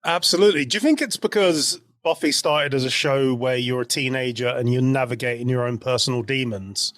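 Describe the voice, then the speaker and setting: A man's voice: medium pitch, fairly quick, a bit bright, no echo, studio quality. Chatty man co-hosting a podcast. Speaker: podcast co-host. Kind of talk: casual podcast chat.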